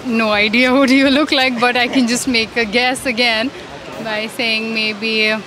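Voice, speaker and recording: A woman's voice: on the high side, level tone, moderate pace, pushed and bright, poor audio. A woman from India, chatting casually as a street interviewee. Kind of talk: casual chat. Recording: busy outdoor street with crowd.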